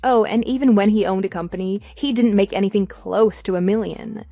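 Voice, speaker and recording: A woman's voice: mid-range voice, fairly quick, mellow, dry recording, soft background music, natural voice. A woman playing a composed, matter-of-fact wife with a dismissive edge in a scripted audio drama. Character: composed, wry wife divorcing her cheating husband.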